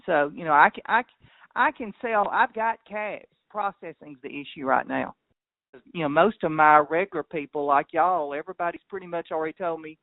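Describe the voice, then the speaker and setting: A man's voice: a little high, fairly quick, gravelly, dry recording. A man who farms cattle, chatting casually and ramblingly on a phone call in a plain-spoken, folksy way, over a tinny line. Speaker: local cattle farmer.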